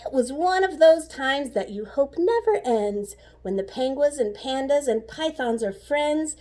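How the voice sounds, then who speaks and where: A woman reading a children's story aloud like a teacher, animated and rhythmic with the rhymes. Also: sits high, unhurried. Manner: animated, rhythmic rhyming delivery.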